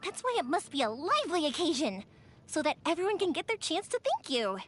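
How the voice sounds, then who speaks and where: Casting: bubbly young female game character, very high voice, swooping animated delivery, playful storyteller, excitable and cheerful. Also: a little fast, soft background music. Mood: warm, celebratory. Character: cheerful, excitable young woman firework maker. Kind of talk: video game character voice acting.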